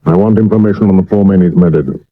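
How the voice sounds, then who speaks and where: Weary, low-voiced Victorian detective played by a man. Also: quick pace, natural voice. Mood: weary, intent. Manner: tired, understated, matter-of-fact. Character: weary, dry, dogged Victorian police detective. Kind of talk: 1970s radio drama acting. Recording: muffled old medium-wave radio recording.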